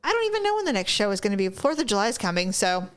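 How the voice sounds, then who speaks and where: A woman's voice: medium pitch, racing, smooth. A woman co-hosting a casual comic podcast, telling stories in an exclamatory, self-deprecating way and acting out what people said. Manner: animated storyteller, acts out quoted dialogue. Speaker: podcast co-host. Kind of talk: casual comic chat with a sister.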